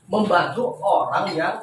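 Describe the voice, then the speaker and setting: A young man chats casually as a co-host, with a jokey, mock-preachy bent. His voice is high, picked up from a distance in a very echoey space with noisy ambience. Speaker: talk show co-host. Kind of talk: casual banter.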